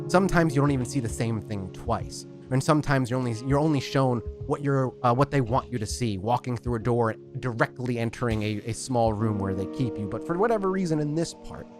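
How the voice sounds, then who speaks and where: A man as storyteller, narrating a tabletop roleplaying game in descriptive, unhurried speech with frequent fillers. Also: medium pitch.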